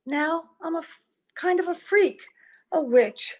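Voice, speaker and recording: A woman's voice: very high voice, expressive, measured pace. A woman playing an anguished wife and mother who can never age, earnest and pleading, in old-time radio drama style. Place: at home, recorded over a video call.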